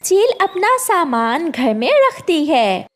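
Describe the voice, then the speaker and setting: A woman's voice: very high-pitched, very animated, slow. A woman narrating a scripted cartoon story as the storyteller.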